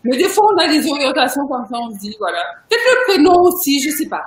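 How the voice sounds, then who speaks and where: A woman's voice: high-pitched, sing-song, fast talker, reverberant. A woman hosting a casual video interview, chatty and emphatic, laughing often. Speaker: interview host.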